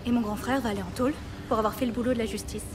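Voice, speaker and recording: A woman's voice: on the high side, steady tone, racing, noisy background, lightly acted. An actress playing a bitter, defiant fourteen-year-old girl in a French audio drama, blunt, slangy and sarcastic. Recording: clear music behind the voice.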